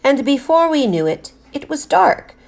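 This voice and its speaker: A woman teacher reading a picture book aloud to children in an expressive, deliberately emphasized storytelling style. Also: sits high, vocal fry.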